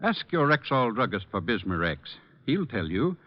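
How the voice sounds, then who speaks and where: A man's voice: mid-range voice, big pitch swings, moderate pace. A man delivering scripted 1940s radio advertising as a druggist spokesman. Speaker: commercial pitchman, druggist spokesman. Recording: muffled old radio broadcast recording.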